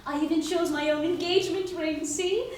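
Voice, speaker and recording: A woman's voice: very high voice, fairly even intonation, normal speed, very noisy. A woman playing a domineering, status-obsessed young society fiancée in stage musical theatre, with a grand, bossy, theatrical delivery. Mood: breezy, bossy. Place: staged reading before a live audience. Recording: echoey live stage, distant mic, loud music.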